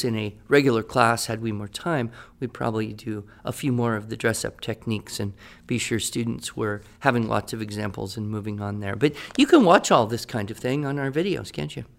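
Measured man explaining writing teaching. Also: mid-range voice, gravelly. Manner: explanatory, measured, lightly humorous. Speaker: writing teacher and podcast co-host. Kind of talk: casual educational podcast chat.

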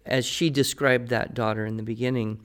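A man's voice: mid-range voice, fairly even intonation, a little fast, fairly bright tone, smooth. An American man answering listener questions on a podcast as the expert, conversational, articulate and opinionated as he explains. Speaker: podcast guest expert, writing teacher.

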